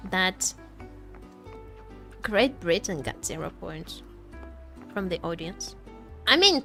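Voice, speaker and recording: A woman's voice: fairly high pitch, sharp tone. A woman livestream host chatting casually, rambling and searching for her words as she goes. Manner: rambling, hesitant word searching.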